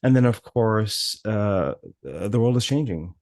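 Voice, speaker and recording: A man's voice: fairly low pitch, expressive, a little slow, darkish tone, no echo, studio quality. A man hosting an academic podcast discussion, speaking hesitantly with many fillers and restarts. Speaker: podcast host.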